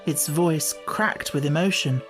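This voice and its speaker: Guy reading a kids audiobook, slow pace, bit of music behind him. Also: a little high. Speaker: audiobook narrator. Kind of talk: scripted storybook narration.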